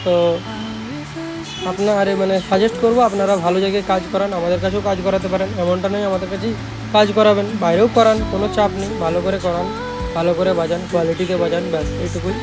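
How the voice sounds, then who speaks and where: A man's voice: sits high, level tone, fast talker. A man with a West Bengal Bengali accent, narrating a casual vlog as a repair technician, informal and given to repeated tag phrases. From West Bengal, India. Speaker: speaker repair technician and channel host. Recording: music playing behind the voice. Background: music.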